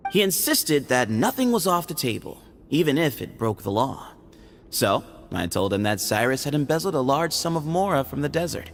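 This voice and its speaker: A playful, evasive young man from a fantasy game. The delivery is lively and glib, with some gravel in the voice and light music behind. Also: mid-range voice, moderate pace. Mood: sly, casual. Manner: breezy, teasing, glib. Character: sly, easygoing young male desert dweller, playful and evasive. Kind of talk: video game character acting.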